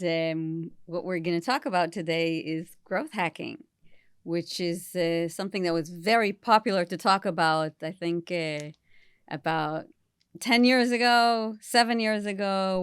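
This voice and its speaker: A woman hosting an interview podcast, enthusiastic and pausing often with fillers. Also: lowish voice, smooth, no echo, studio quality. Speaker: podcast host.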